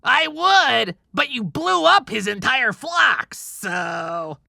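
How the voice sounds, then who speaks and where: An actor playing an excitable, stubborn, wisecracking sidekick of Santa's in an audiobook drama, with a creaky, bright voice. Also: medium pitch, slow, no room sound, clean studio sound, full character voice. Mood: indignant. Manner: sarcastic, animated, mouthy. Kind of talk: cinematic audiobook character acting.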